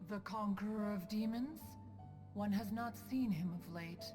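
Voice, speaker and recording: Game role: proud, eloquent female immortal, played by a woman. Lofty formal diction, mid pitch, reverberant, music underneath. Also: measured pace, close to an everyday voice. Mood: stately. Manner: formal, lofty, calls herself "one". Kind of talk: video game cutscene voice acting.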